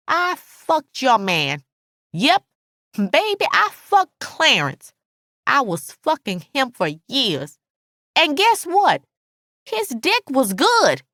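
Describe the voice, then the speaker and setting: A woman's voice: high-pitched, pushed and bright, no room sound, clean studio sound, voice pushed up, exaggerated voice. A woman voicing an enraged, betrayed, foul-mouthed woman in a dramatic audiobook, taunting and indignant, leaning hard on her words. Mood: gloating, spiteful. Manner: taunting, indignant, drawn-out emphatic delivery. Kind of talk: dramatic audiobook character dialogue.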